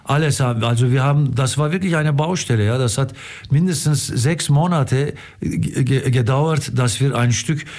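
A middle-aged or older man from Istanbul with a Turkish accent, telling stories as a radio guest, haltingly and repeating words for emphasis. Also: mid-range voice, a little mellow. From Istanbul, Turkey. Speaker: interview guest, theatre director. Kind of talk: casual storytelling in an interview.

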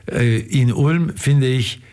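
A middle-aged or older man from Istanbul with a Turkish accent, telling stories as a radio guest, haltingly and repeating words for emphasis. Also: mid-range voice, darkish tone. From Istanbul, Turkey. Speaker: interview guest, theatre director. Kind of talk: casual storytelling in an interview.

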